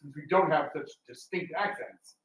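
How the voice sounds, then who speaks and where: A man with a fairly high voice chats in a rambling, storytelling way, tossing in teasing asides. The recording is very roomy, picked up from a distance, with some light noise behind him. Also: moderate pace, a bit bright. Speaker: radio theatre actor and panel speaker. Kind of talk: casual, rambling talk to an audience. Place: indoor talk in a roomy space. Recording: roomy, distant, noisy live room recording.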